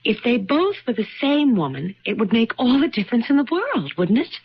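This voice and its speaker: An actress playing an anxious, insistent young wife in a vintage radio drama, speaking earnestly and pleadingly. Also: female voice, a little high, very animated, a little fast, slightly rough. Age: young adult. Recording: muffled vintage radio recording.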